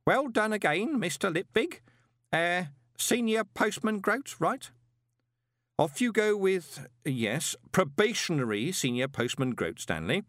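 A man voicing a glib, quick-witted male swindler turned postmaster in an audiobook, brisk, assertive and persuasive. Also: high-pitched, animated, fairly bright tone, no echo, studio quality, pitched above the performer's own voice, full character voice. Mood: placating. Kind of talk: audiobook character voice.

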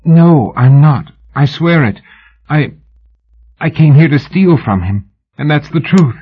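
A man's voice: a little high, very animated, measured pace, breathy edge, narrow, tinny audio, voice pushed up, heavily acted. A man reading an audiobook, voicing a frightened young British woman who pleads desperately and breathlessly. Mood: pleading. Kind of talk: audiobook character voice.

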